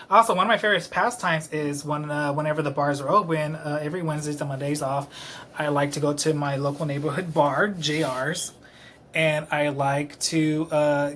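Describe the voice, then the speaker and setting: A man's voice: fairly high pitch, fairly bright tone, a little hiss or hum. A man in his late twenties from Texas, rambling to camera in his apartment, self-deprecating and a little tipsy, with lots of fillers. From Dallas, Texas. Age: late 20s. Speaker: vlogger. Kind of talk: rambling casual monologue to camera. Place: his own apartment, indoors. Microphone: camera close-up.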